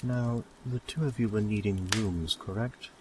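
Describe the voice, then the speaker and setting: A man's voice: fairly low pitch, measured pace, background noise, lightly acted. A man playing a polite, slightly bemused tavern keeper in a fantasy audio drama, businesslike and a little hesitant.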